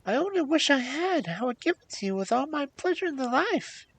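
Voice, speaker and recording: A woman voicing a young fairy-tale princess, gentle and sorrowful, with a polite, pleading, meek manner. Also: high-pitched, big pitch swings, fairly quick, voice pushed up. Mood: tearful pleading. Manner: plaintive, polite, submissive. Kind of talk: storybook character voice.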